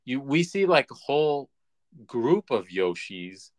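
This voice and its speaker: A man co-hosting a podcast, chatting casually about movies in a measured, halting, conversational way. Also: medium pitch, sing-song. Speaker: podcast co-host.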